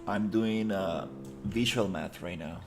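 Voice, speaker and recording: A man's voice: low voice, takes it slowly, vocal fry, over music. Laid-back man muttering. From Costa Rica. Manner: laid-back, rambling, many fillers, muttering through his work. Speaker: live streamer. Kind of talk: casual chat while 3D modeling.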